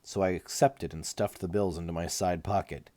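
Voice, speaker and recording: A man's voice: low-pitched, steady tone, moderate pace, darkish tone, no room sound. A man reading a first-person novel aloud as an amateur audiobook narrator.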